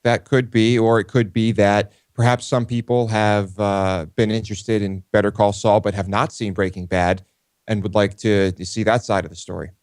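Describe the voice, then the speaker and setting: A man co-hosting a talk show in casual banter, with a deadpan, low-key delivery. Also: moderate pace, dry recording. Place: studio.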